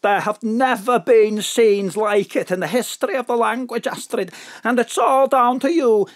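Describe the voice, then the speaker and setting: An actor playing a grandiose, excitable in an audio drama, declamatory and effusive. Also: male voice, very high voice, moderate pace. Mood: rapturous. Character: excitable, grandiose Welsh male Archdruid.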